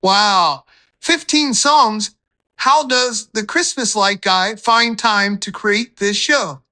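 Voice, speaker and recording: A woman's voice: medium pitch, slow pace, bright, forceful tone. A woman voicing a cheerful talking Christmas display prop in scripted character dialogue. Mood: amazed.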